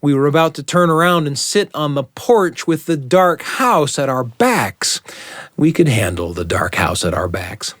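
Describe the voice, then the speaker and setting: A man telling a story as a radio host, with deliberate, suspenseful phrasing and dramatic repetition. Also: on the high side, animated, a bit bright, dry recording, clean studio sound.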